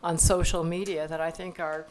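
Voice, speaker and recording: A woman on a conference panel, speaking in a measured way and restarting her sentences often. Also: on the low side, flat delivery, a little hiss or hum. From United States. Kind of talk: panel discussion. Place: conference hall stage.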